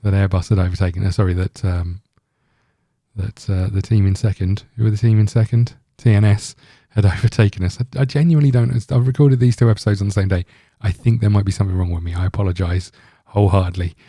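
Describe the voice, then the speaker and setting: A man with a very dark, relaxed voice on the low side talks through a video game. He rambles casually, thinking aloud as he hosts. The sound is dry and close. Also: fast, no room sound. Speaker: gaming video host. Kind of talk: casual let's-play commentary.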